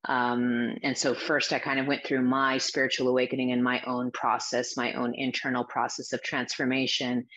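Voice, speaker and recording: A middle-aged woman from the Azores talking as an interview guest, reflective and halting with many restarts. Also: a bass voice, monotone, fairly bright tone. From Azores, Portugal. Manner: reflective, with frequent restarts and fillers. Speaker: guest, spiritual teacher and coach. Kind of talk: interview answers. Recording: dull lo-fi sound.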